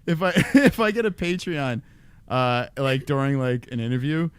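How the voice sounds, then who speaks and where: A man hosting a casual podcast chat, joking and hyping up the audience in a loud announcer manner. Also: mid-range voice, expressive, normal speed, smooth, no echo. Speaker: podcast host.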